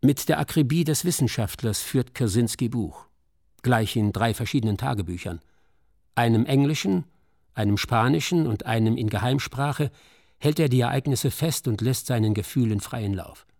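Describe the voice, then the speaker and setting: Male narrator, medium-pitched voice, scripted literary radio play delivery, dry room. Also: expressive, moderate pace, vocal fry, a little mellow, no room sound.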